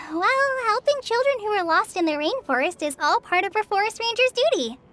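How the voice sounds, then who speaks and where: A woman voicing a kind, eager young girl forest ranger in an animated video game, with a gentle, earnest manner. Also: very high voice, normal speed, slight background noise, full character voice. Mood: friendly, earnest. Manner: gentle, earnest, bubbly when excited. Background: prominent music.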